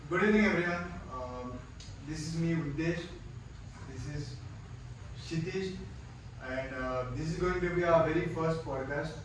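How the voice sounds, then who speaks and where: A man's voice: fairly high pitch, normal speed. A young man from Goa with an Indian English accent, hosting a casual podcast and asking friendly, hesitant questions. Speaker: podcast host. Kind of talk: casual chat. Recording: echoey room, faint noise.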